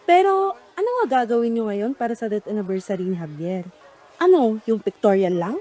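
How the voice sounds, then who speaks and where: A woman's voice: medium pitch, animated, brisk, dark tone, soft background music, natural voice. A woman with a Filipino accent playing a gossipy, teasing middle-aged friend in a radio drama. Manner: chiding, teasing. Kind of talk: radio drama acting, casual chat.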